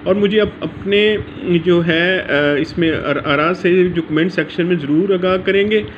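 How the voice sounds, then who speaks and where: A man's voice: high voice, measured pace, muffled recording, slight background noise. A man with a Pakistani accent gives an informal law lecture from notes, mixing English legal terms into his speech.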